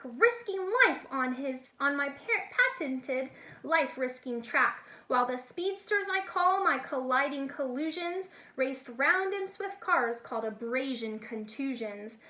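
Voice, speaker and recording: Bright, high-pitched woman reading to children. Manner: animated, deliberate, explains words to children. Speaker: children's read-aloud storyteller, teacher-like. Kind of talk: expressive picture-book read-aloud with asides to young listeners.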